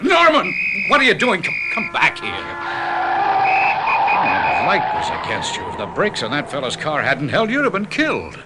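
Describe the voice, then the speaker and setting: A man's voice: high-pitched, huge pitch swings, a little slow, heavy background noise, full character voice. An actor playing a worried middle-aged male friend in a 1990s radio drama, urgent and caring. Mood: alarmed.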